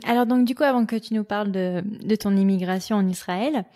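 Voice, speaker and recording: A woman's voice: medium pitch, a little fast, mellow. A woman hosting a podcast interview, asking friendly, prompting questions. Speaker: podcast host.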